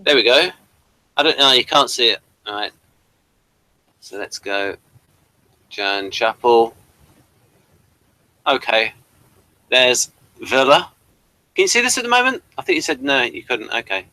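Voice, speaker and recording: Casual online host: British male, unhurried and rambling, with a forward, bright tone. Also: medium pitch, lively intonation, dry recording. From Britain. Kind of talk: casual review chat. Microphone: webcam livestream setup.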